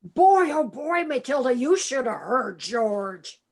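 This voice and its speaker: A woman's voice: high voice, very animated, slow pace, a little mellow, exaggerated voice. A woman playing a folksy, exclamatory uncle in his fifties in an amateur radio play. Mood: flustered. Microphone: video call.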